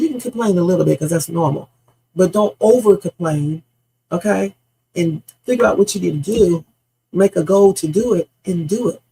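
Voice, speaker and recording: A woman's voice: lowish voice, gravelly, dark tone, a little hiss or hum, rough recording. A woman in her fifties hosting a livestream, chatting in a blunt, salty, meandering way that mixes stories with life advice. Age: 50s. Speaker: livestream host. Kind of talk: rambling casual livestream chat.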